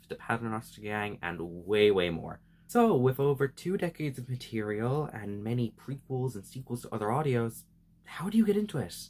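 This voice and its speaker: A man hosting a semi-scripted explainer vlog, speaking in an earnest, emphatic and enthusiastic way. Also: medium pitch. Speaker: video host.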